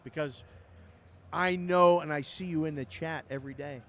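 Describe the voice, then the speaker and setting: Male co-host, fairly high voice, effusive, excited, stammers. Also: moderate pace, vocal fry, slight background noise. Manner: enthusiastic, effusive, with stammered repeats. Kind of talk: casual live show chat. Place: lounge in a casino resort, live show.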